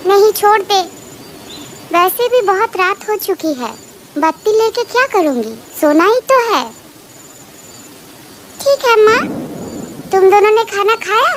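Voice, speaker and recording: A man voicing a village mother and her young daughters in a shrill, childlike cartoon story voice. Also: lively intonation, unhurried, pauses often, a bit bright, heavily acted. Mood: gentle, domestic. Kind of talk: cartoon horror story dialogue. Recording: clear background noise behind.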